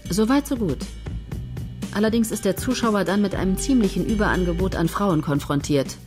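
An actress playing a confident head writer who steers her team in a brisk, patronizing and persuasive manner. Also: mid-range voice, music in the background, lightly acted. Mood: bossy. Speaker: head of a soap writing team. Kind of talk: radio play dialogue.

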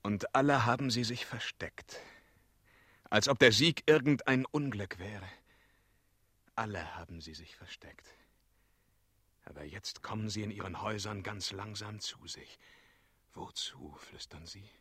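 An actor playing a mortally wounded, weary knightly hero in a German radio drama, husky and halting, moving between breathy murmurs and declamation. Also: male voice, lowish voice, pushed and bright, dry recording, clean studio sound, full character voice. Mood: pained, indignant. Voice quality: husky, breathy, often sinking to whispers.

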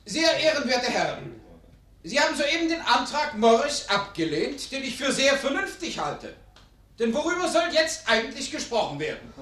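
An actor playing a formal, agitated parliamentarian making a forceful speech in a radio play, in an echoey chamber. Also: male voice, very high-pitched, very animated, moderate pace, heavily acted. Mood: indignant. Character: agitated male parliamentarian defending silence in debate. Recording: echoey chamber, distant from mic.

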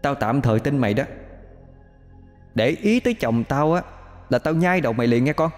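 A man's voice: medium pitch, lively intonation, moderate pace, darkish tone, in a large hall, soft background music, pitched above the performer's own voice, natural voice. A man doing a snarling jealous ghost woman. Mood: menacing. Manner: snarling, threatening, hissing. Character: jealous, possessive female ghost, menacing and harsh.

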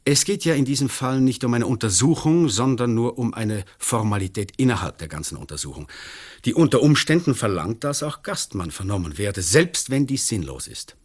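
An actor playing an uneasy, formal, middle-aged examining magistrate in a German radio play, with measured, officious phrasing. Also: male voice, medium pitch, sing-song, slightly rough. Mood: formal, placating.

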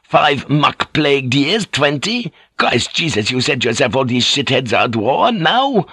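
A man's voice: mid-range voice, sing-song, unhurried, pushed and bright, slightly rough, no room sound, studio quality, voice pushed up, full character voice. A man voicing a frantic, foul-mouthed sailor in an audiobook, ranting and blustering as he cracks into madness. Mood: angry ranting. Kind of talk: dramatic audiobook character voice.

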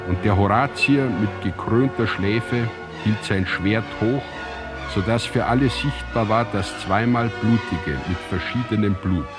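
An actor as the grave narrator of an ancient tragedy in a radio drama, reciting in a measured, solemn, declamatory way. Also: male voice, on the low side, close to an everyday voice. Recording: music under the voice. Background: music.

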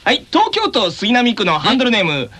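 A man hosting a radio show with playful, animated banter, fond of vocal sound effects. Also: extremely high pitch, brisk. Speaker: radio show host and voice actor. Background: music.

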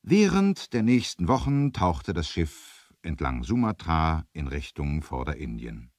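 Man, narrator, deep bass-leaning voice, lively intonation, theatrical, deliberate, scripted 1970s radio storytelling. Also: dry recording, studio quality.

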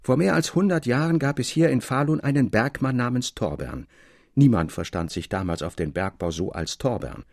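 Concerned old male mine foreman acted by a man for a literary tale: grave, creaky, closely recorded. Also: mid-range voice, animated, moderate pace, darkish tone, no echo, clean studio sound. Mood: grave, foreboding. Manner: solicitous, grave. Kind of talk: dramatic literary audiobook reading.

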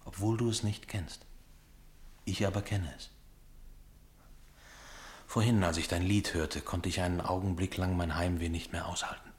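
A man acting a mysterious, homesick foreign soldier in a radio play, speaking solemnly and poetically in a measured delivery. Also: deep voice, steady tone, close to an everyday voice. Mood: wistful, homesick.

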